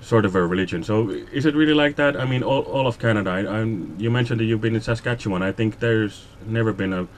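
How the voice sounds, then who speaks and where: A man's voice: on the low side, fast, few pauses, slight background noise. A man interviewing a guest on radio, asking hesitant questions and chuckling often.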